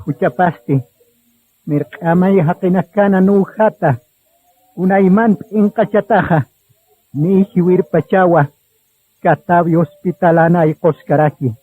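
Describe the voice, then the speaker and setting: A man narrates a radio drama in a solemn, deliberate storytelling style. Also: high-pitched, dry recording. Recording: tinny narrow-band radio sound with music. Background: music.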